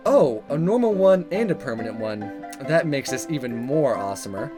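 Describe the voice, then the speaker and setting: A man's voice: medium pitch, very animated, normal speed, close to an everyday voice. A man voicing an awkward but cocky teenage schoolboy prankster in a playful, exaggerated visual novel read-aloud, over music. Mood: mischievous, cocky. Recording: music playing under the voice.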